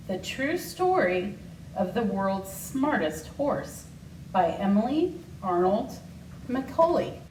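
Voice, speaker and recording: A woman reading a children's picture book aloud with measured, deliberate phrasing. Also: medium pitch, a little mellow. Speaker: school secretary, guest storybook reader. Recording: roomy, distant mic, faint noise.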